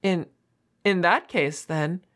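A woman's voice: fairly low pitch, slow pace, a bit bright. A woman voicing a defensive, flustered young half-wolf girl roommate in an audio roleplay, stammering and evasive with a whiny manner. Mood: panicked, whining.

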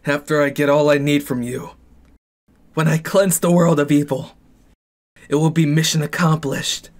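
A slow-spoken, manipulative young man acted out by a male performer in an anime-style ASMR roleplay. He is a possessive boyfriend with delusions of grandeur, deliberate and coldly controlling in every phrase. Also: fairly high pitch, close to an everyday voice. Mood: cold, calculating.

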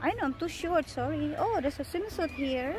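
A woman's voice: high-pitched, animated, normal speed, music in the background. A woman chatting on a resort walking tour, exclaiming often, recorded outdoors on a handheld camera. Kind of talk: casual chat with her husband.

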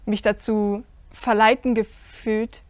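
A woman's voice: medium pitch, level tone, slow pace, no echo. A teenage girl on a discussion panel, speaking earnestly and thoughtfully with frequent fillers. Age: teen. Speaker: youth panelist. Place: indoor studio set with curtains.

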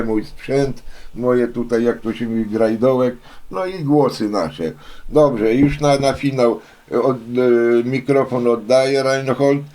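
A man's voice: mid-range voice, darkish tone. An older man from Poland rambling casually and folksily as an amateur radio operator, often repeating himself with filler phrases. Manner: folksy, chatty, frequent filler phrases and repetitions. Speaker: amateur radio operator, hobby video maker.

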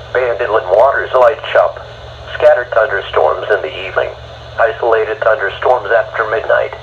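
A synthetic male voice reading an automated marine weather forecast in clipped, evenly paced phrases. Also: lowish voice. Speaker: automated weather radio announcer. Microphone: phone recording a radio receiver. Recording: dull phone recording of a radio speaker, faint hiss.

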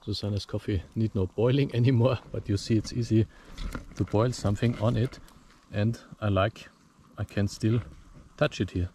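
A man's voice: fairly low pitch, fairly even intonation, normal speed, mellow, slight background noise. German-accented man explaining outdoors. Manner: explains step by step, plain simple phrasing. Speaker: bushcraft instructor. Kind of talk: outdoor demonstration vlog. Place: outdoors in a forest.

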